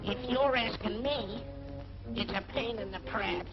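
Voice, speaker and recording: An actor playing a brash, whiny young army private who gripes in slangy rhyme in a 1940s wartime cartoon. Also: male voice, high voice, unhurried. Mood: indignant. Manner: complaining, slangy, sing-song rhyming delivery. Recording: dull 1940s film soundtrack, loud music.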